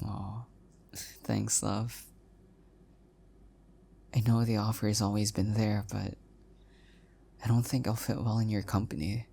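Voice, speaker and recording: A man playing an adorkable, self-deprecating young husband in an intimate one-sided audio roleplay, speaking softly and hesitantly with affection. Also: lowish voice, little pitch movement, takes it slowly, creaky, pauses often, heavily acted. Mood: affectionate, modest.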